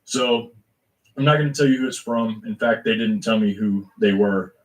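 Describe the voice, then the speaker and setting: A man's voice: on the low side, moderate pace, poor audio. A man hosting an online show, addressing his viewers earnestly before reading them a letter. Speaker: channel host.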